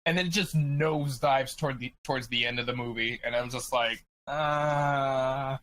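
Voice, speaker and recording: A man's voice: medium pitch, steady tone, moderate pace, fairly bright tone, slight background noise. A man talking casually as a podcast panelist, rambling along with lots of "like" in his sentences. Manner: rambling, peppered with "like". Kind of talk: casual group discussion.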